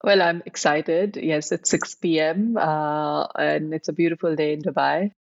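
A woman's voice: low voice, steady tone, unhurried, gravelly, muffled recording. A woman from India, a podcast guest telling her story reflectively over a video call, with many filler ums and uhs. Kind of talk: conversational storytelling.

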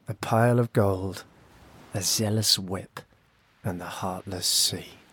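A man's voice: sits low, steady tone, takes it slowly, fairly bright tone, breathy edge, full character voice. An actor playing a cunning, disgraced young noble, narrating his own fantasy tale with sardonic, literary bitterness. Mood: wry, brooding. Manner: theatrical, literary, sardonic storytelling. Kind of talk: first-person narration in a high fantasy audio drama.

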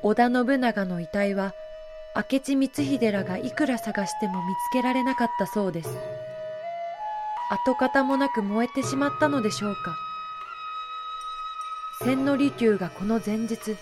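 A woman's voice: fairly high pitch, a little slow, music in the background. A woman giving polite, explanatory scripted narration for a historical audio drama.

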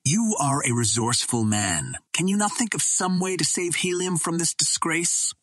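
A man's voice: on the high side, animated, moderate pace, fairly bright tone, dry recording, studio quality, voice pushed up. A man voicing a passionate, loyal and proud Martian warrior, making earnest, dramatic declarations in an audiobook story. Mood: pleading.

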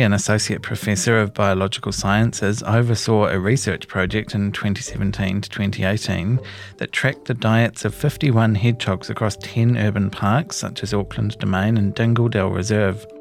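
A man's voice: on the low side, gravelly. A man reading a scripted news feature as narrator.

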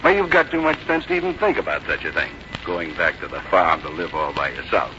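A man's voice: a bass voice, big pitch swings, brisk, full character voice. An actor playing a middle-aged son who fusses over his mother in a folksy, solicitous, hesitant way, in 1950s radio drama style. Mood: placating. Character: fussing, reassuring grown son, fond of his mother. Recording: dull, noisy 1950s radio recording.

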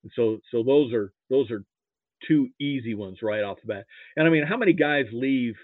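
A man's voice: mid-range voice, moderate pace, no room sound, phone-quality sound. A middle-aged or older man from Nebraska reminiscing casually about sports as an interview guest, rambling and often repeating words.

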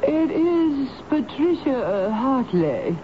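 A woman's voice: high voice, very animated, slow pace, exaggerated voice. A woman playing a haughty former stage actress with a grand, formal, dramatic manner in a radio drama. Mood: haughty, insistent. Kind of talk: 1970s radio drama acting. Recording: dull, noisy old radio broadcast.

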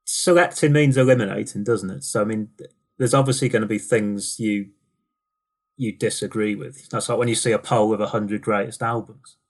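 A man's voice: fairly low pitch, fairly even intonation, normal speed. A man in a casual chat, making thoughtful points and laughing between them.

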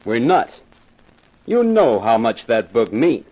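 A man's voice: medium pitch, big pitch swings, slow pace, darkish tone, rough recording. A man acting a menacing, impatient gangster boss with a bossy, taunting manner in 1950s radio crime drama. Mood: threatening.

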